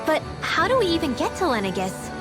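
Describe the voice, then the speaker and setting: A woman's voice: high-pitched, big pitch swings, fairly quick, music in the background. A woman playing a sensitive, hesitant teenage girl mage in a fantasy video game cutscene. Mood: questioning. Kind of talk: English dubbed video game cutscene acting.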